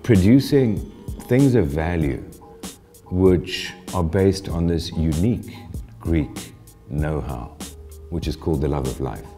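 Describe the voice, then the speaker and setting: Very deep, dark-voiced man. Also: slow pace, soft background music. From South Africa. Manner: animated storytelling with frequent restarts. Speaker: interviewee, honoree. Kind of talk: reflective interview answers.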